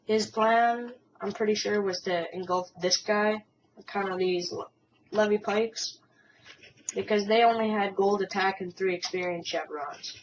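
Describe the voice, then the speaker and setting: A woman talks through a strategy game replay in a halting, casual way, a little hoarse from a cold. She sounds set back from a dull, muffled mic. A faint hiss sits under her voice. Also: medium pitch, steady tone, recorded from a distance. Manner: hesitant, many ums and restarts. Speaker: game commentator. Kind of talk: casual gameplay commentary.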